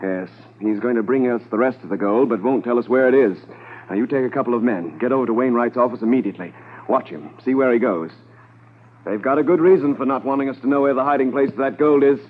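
An actor playing an authoritative police inspector, measured and commanding, in 1950s radio drama style. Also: male voice, medium pitch, lightly acted. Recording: noisy, narrow-band 1950s radio transcription.

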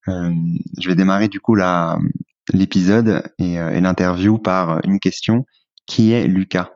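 A man's voice: low-pitched, steady tone, a little slow, a little mellow, no room sound, dull audio. A man hosting a podcast interview, hesitant and full of fillers when speaking off the cuff. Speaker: podcast host.

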